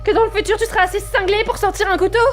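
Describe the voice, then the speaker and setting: A woman's voice: very high voice, steady tone, very fast, faint music behind. A woman playing a distressed wife in an audio drama, anxious and pleading. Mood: shaken, anxious. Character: distressed wife torn between two versions of husband. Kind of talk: amateur audio drama acting.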